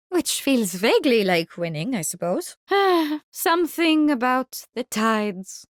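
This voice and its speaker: High-voiced, gleefully morbid French virologist. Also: female voice, dry recording, studio quality. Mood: wry, disappointed. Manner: theatrical, articulate, mock-courteous. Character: gleeful, morbid French woman virologist, polite yet sinister. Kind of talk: video game character voice lines.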